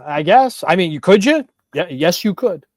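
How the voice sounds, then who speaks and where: A man's voice: high voice, very animated, moderate pace, darkish tone, no echo, clean studio sound. A man co-hosting a sports podcast with casual, conversational banter, often prodding and questioning.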